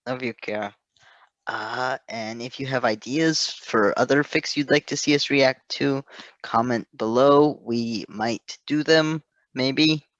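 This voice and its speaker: A man's voice: medium pitch, slow pace, a bit bright, no room sound, highs cut off. A man hosting in a casual chat style, enthusiastic and prone to rambling. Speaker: host.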